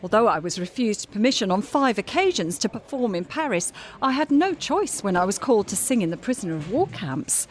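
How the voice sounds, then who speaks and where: Actress as a proud, imperious singer, highly expressive. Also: female voice, fairly quick, hardly any pauses, natural voice. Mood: self-justifying, dramatic. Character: proud, imperious famous female singer, self-justifying. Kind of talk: audio drama acting.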